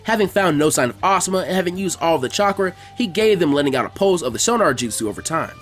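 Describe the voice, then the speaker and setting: A man reading a scripted story aloud as a narrator, in an animated way. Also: on the high side, fast, faint music behind. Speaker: fan fiction reader.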